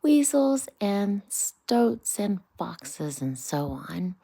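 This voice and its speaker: A woman's voice: mid-range voice, very animated, extremely slow, vocal fry, mellow, no echo, pitched above the performer's own voice, full character voice. A woman voicing a chatty, worldly male water rat, cautious and dismissive, in a children's bedtime storybook reading. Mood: confiding, guarded.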